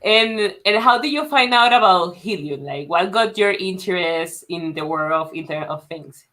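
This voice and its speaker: Bright-voiced interview host. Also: medium pitch, lively intonation, moderate pace. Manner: friendly, short affirmations. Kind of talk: interview moderation.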